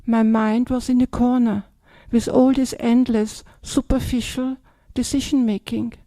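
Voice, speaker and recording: An older woman with an Austrian German accent gives a relaxed talk. Her voice sits fairly high but sounds mellow and soft. It is recorded close and dry, with no room sound, and she keeps checking in with her listeners. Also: unhurried, no room sound. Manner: chatty, tags sentences with "yeah?" and "yes?". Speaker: Buddhist nun. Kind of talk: dharma talk.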